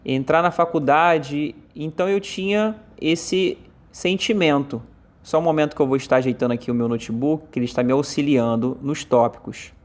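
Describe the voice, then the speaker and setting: A Brazilian man interpreting a deaf speaker's talk aloud in first person, in short halting phrases with frequent fillers. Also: fairly high pitch. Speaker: sign language voice interpreter.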